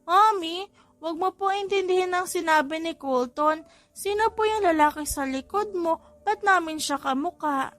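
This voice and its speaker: Woman doing an excitable, high little boy. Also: moderate pace, faint music behind. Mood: curious. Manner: childlike, exclamatory, nosy. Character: bossy, curious little boys, excitable quadruplet brothers. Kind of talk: audiobook character voice in a family romance story.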